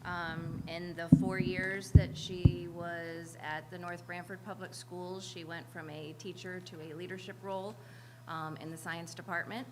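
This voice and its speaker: Woman administrator, lower voice, measured briefing, heavy background noise. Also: little pitch movement. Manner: measured, filler-laden briefing. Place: public meeting room. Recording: meeting room with loud background noise.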